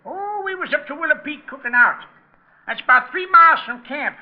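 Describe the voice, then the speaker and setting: A man's voice: very high-pitched, animated, fairly quick. An actor playing a talkative, muddled old lodge foreman with a rural American accent, rambling and repeating himself in old-time radio style. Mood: folksy, rambling. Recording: dull vintage radio broadcast recording.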